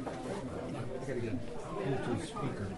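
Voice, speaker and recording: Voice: medium pitch, normal speed. A person chatting casually at a club luncheon in a restaurant. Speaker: club quiz maker. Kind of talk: casual chat. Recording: very loud crowd chatter.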